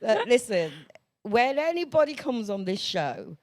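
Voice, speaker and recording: A woman's voice: a little high, big pitch swings, unhurried, dry recording. Effusive woman podcast host. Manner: effusive and encouraging. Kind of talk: casual interview hosting. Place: podcast studio.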